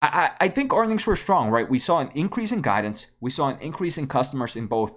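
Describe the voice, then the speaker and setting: A man's voice: mid-range voice, animated, fast talker. Casual man explaining figures, repeating himself. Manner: repeats words, often tags phrases with "right?". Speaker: host of a stock analysis video channel. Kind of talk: casual explanatory commentary on company earnings.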